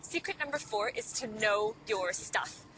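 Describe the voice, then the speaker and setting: A woman's voice: medium pitch, lively intonation, a little slow, noisy background. A woman from Hong Kong whose first language is Cantonese, teaching public speaking in an earnest motivational video lesson and asking the viewer many rhetorical questions. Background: background music.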